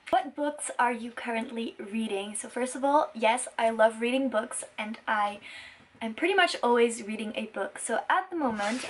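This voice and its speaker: A woman's voice: fairly high pitch, slight background noise. A woman in her twenties from Belgium, a native Dutch speaker, chatting casually to viewers in an earnest, enthusiastic and emphatic way. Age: 20s. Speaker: vlogger and musician.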